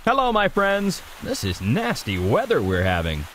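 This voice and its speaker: A theatrical, defensive hotel guest from a mystery game. His slightly high voice swings dramatically in pitch. Faint rain sounds behind him. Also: huge pitch swings, normal speed, fairly bright tone, a little hiss or hum. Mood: affable. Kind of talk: video game voice acting.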